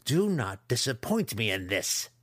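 Fantasy audiobook villainess read by a man: imperious dark elf matron, menacing, deliberate, in a dry recording. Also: medium pitch, big pitch swings, no room sound. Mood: stern command. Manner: slow, threatening. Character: stern, menacing dark elf matron, commanding her daughter.

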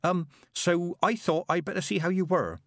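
A man's voice: high-pitched, fairly even intonation, moderate pace, a bit bright, no echo, clean studio sound, voice pushed up. A man voicing a nervous, stammering young witch girl, full of little ums and flustered breaths.

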